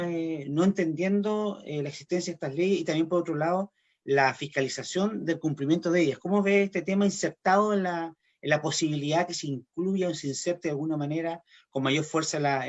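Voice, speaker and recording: A man interviewing a guest, asking long, wordy questions and often restarting his sentences. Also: fairly high pitch.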